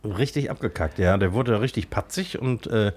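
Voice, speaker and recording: A man with a cold and a blocked nose, his voice pitched fairly low. He co-hosts a podcast in casual chat and hesitates often with filler sounds. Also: normal speed, background noise. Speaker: podcast co-host. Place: cold covered tent studio.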